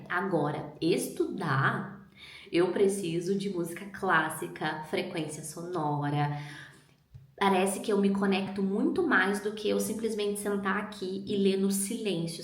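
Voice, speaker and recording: Musing woman vlogger in an echoey room. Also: mid-range voice. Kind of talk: reflective casual chat.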